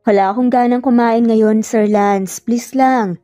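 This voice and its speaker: A woman's voice: fairly high pitch, fairly even intonation, measured pace, mellow, faint music behind, lightly acted. A woman with a Filipino accent voicing a guarded, hurt young woman who answers curtly and evasively in a narrated romance.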